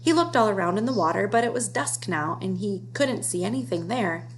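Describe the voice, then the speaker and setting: A woman reads a children's story aloud in a medium-pitched voice. She is the storybook narrator. A clear hum and background noise run under her reading. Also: animated, a little fast. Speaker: storybook reader.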